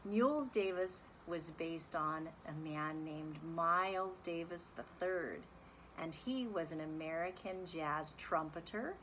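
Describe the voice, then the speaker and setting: A woman speaking as a music teacher, reading a children's story and explaining it in an animated, deliberate, instructive way. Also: deep voice, slight background noise, rough recording. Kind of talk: expressive children's read-aloud and lesson.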